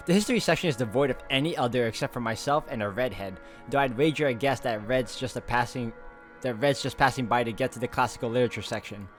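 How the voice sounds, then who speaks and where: A man hosting a game playthrough, reading visual novel narration aloud in an informal, expressive way with casual asides and the odd stumble. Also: mid-range voice, quick pace, soft background music. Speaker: gaming video host.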